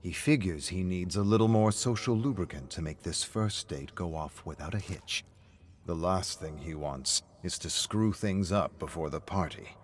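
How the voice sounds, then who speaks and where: A man reads a dramatic story as its narrator. His voice is on the low side, delivering scripted prose in the present tense, and a faint noise sits behind it. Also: moderate pace.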